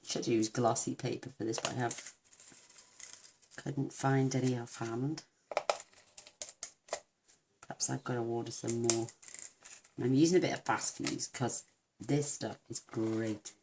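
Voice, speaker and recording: A woman with a croaky voice, chatting warmly through a card-making demonstration and gushing over each step. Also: extremely low pitch, steady tone, measured pace, long pauses, background noise. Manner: gushing, talks to viewers while working.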